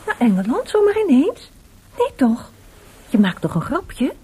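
A woman acting in a Dutch radio play as a coy, affectionate married piano pupil, playful and gently coaxing. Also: high-pitched, huge pitch swings, measured pace, husky, heavily acted. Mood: concerned.

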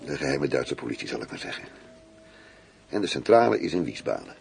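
An actor playing a worldly, self-assured German undercover police chief, teasing and intimate in a radio play. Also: male voice, very deep voice, very animated, fairly quick, vocal fry, slight background noise, heavily acted. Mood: confiding, boastful.

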